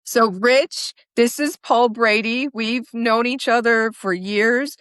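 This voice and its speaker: A woman's voice: fairly high pitch, slow, a bit bright, smooth, dry recording. A woman podcast host chatting casually and telling anecdotes in a conversational interview.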